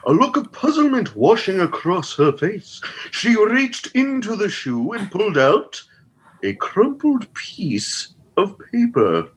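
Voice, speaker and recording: Man playing a pompous gentleman storyteller, swooping voice. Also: high-pitched, big pitch swings, slow, slightly rough, exaggerated voice, rough recording. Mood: dramatic suspense. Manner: grandiose, theatrical, florid delivery. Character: pompous, vain gentleman storyteller with an absurdly long title. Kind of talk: scripted comedic radio-theatre storytelling.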